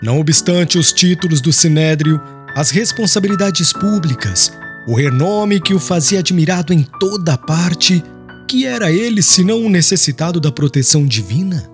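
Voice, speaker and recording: A man narrating a literary audiobook in a solemn, expressive, scripted manner. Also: on the high side, moderate pace. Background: music.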